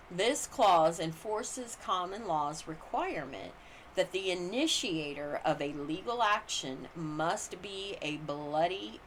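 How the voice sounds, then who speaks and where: A woman reads legal commentary aloud in a deliberate, formal manner. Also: lowish voice, fairly bright tone, a little hiss or hum, low-quality recording. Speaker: audiobook-style reader.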